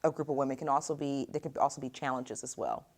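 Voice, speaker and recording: A woman's voice: a bass voice, steady tone, fast, creaky. A woman hosting an interview series, asking questions and encouraging her guest with short affirmations. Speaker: interview host.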